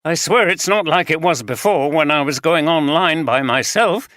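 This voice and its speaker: A reader voicing Foster, a nervous middle-aged husband caught gambling, in an audiobook. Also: male voice, fairly high pitch, expressive, moderate pace, a bit bright, no room sound, studio quality, voice pushed up. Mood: pleading. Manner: pleading, evasive, nervous. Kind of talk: audiobook character reading.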